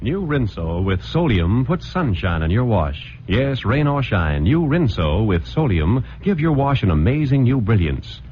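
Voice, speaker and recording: A man pitches the product in an upbeat, emphatic vintage-ad voice that rises and falls a lot. The sound is a dull old radio recording with faint noise. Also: very animated, measured pace, few pauses. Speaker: commercial announcer. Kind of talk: read vintage radio advertisement.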